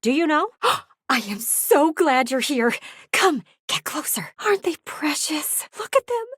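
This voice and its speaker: A vain, nosy talking window, a female video game character. Her voice sits fairly high and swings widely in melody, full of theatrical fretting and teasing. The sound is close and dry, with no room around it. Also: very animated, unhurried, a bit bright, no echo, full character voice. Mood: anxious, pleading, then gushing, delighted.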